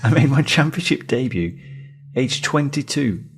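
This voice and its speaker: A man's voice: medium pitch, lively intonation, unhurried, husky. A man co-hosting a football podcast, trading banter and quiz clues in casual chat.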